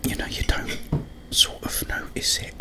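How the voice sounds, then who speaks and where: A man hosting an ASMR video, rambling casually in a breathy, unvoiced whisper with drawn-out phrasing.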